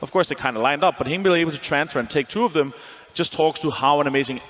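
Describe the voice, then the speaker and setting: Fast, enthusiastic male analyst. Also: on the high side, fairly bright tone, in a large hall. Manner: enthusiastic, loose phrasing. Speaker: esports analyst. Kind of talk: studio desk analysis.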